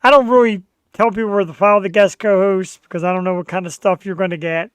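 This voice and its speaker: A man's voice: sits high, steady tone, fast talker, a bit bright, clear, resonant tone, no room sound. A man hosting his own podcast, talking casually in an earnest, rambling, self-deprecating way. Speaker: podcast host. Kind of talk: casual chat.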